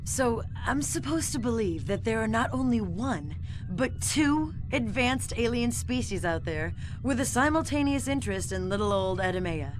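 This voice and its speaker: A woman's voice: a little high, animated, normal speed, few pauses, music in the background, lightly acted. An actress playing a feisty, sardonic woman starship pilot captain in a video game cutscene. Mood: sceptical, sardonic. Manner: sardonic, sceptical.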